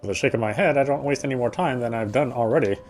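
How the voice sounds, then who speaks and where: A man's voice: medium pitch, fast talker, a little mellow. Man reading a story in character. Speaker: game player reading aloud. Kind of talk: scripted first-person story narration read aloud.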